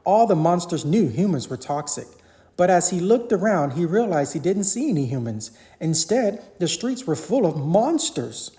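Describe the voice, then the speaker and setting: A parent narrates a children's storybook aloud. The delivery is animated, with pitch that swings freely through each sentence. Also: normal speed.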